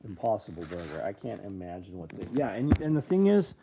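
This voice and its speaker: A man's voice: on the low side, sing-song, quick pace, noisy background. A man in his fifties hosting a casual live show, answering viewer comments in a blunt, rambling and profane way. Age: 50s.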